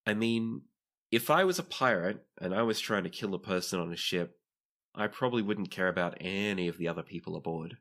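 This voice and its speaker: Man playing Kara, a blunt low-voiced adventurer woman. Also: brisk, fairly bright tone. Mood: cynical, matter-of-fact.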